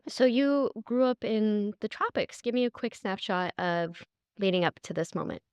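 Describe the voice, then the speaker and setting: A woman's voice: mid-range voice, animated, normal speed, a little mellow, clear, resonant tone, no room sound, dull audio. A young adult woman hosting an interview in an upbeat, encouraging way. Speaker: podcast host.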